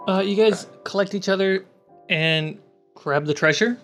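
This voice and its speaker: A woman's voice: medium pitch, measured pace, fairly bright tone. A woman running a tabletop roleplaying game, narrating scenes casually as game master. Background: background music.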